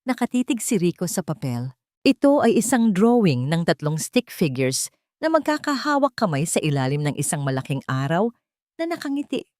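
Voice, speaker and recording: A woman's voice: on the low side, very animated, moderate pace, darkish tone, no room sound, studio quality. A woman storyteller reading a scripted romantic drama as narration.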